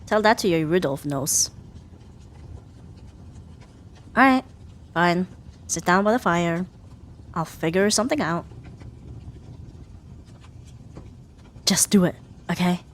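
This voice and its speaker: Woman acting a sarcastic tsundere rival, swooping pitch. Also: expressive, natural voice. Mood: reluctantly caring. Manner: sarcastic, huffy, theatrical sighs and drawn-out jabs. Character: sharp-tongued tsundere young female rival, grudgingly caring. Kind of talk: ASMR roleplay audio drama addressed to the listener.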